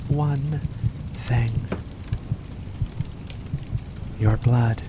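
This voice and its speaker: A performer voicing a seductive, predatory vampire in an intimate ASMR roleplay, speaking with slow, purring menace. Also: mid-range voice, darkish tone, slight background noise, poor audio. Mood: possessive, coaxing. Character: seductive, predatory furry vampire luring prey.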